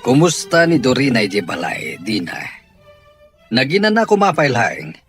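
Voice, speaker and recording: A man's voice: mid-range voice, very animated, moderate pace, a bit bright, soft background music. A man with an Ilocano accent playing a stern, worried middle-aged father in an audio drama. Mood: concerned.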